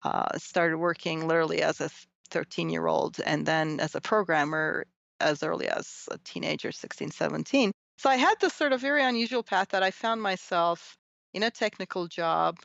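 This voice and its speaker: Middle-aged woman from Turkey, creaky voice. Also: fairly low pitch, fairly bright tone, dry recording, dull audio. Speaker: interview guest, technology and society researcher. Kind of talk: conversational personal storytelling.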